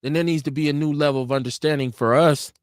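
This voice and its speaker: A man's voice: fairly high pitch, steady tone, fast talker, no echo. A middle-aged American man giving opinions in casual discussion, emphatic and repeating phrases to drive points home. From United States.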